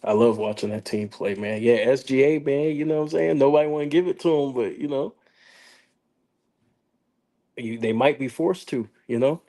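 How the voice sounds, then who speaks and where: A man with a gravelly, hoarse voice chats about sports in a loose, slangy way. He rambles and restarts often, pitched in the middle range for a man. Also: moderate pace, dark tone. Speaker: podcast host. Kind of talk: casual sports chat.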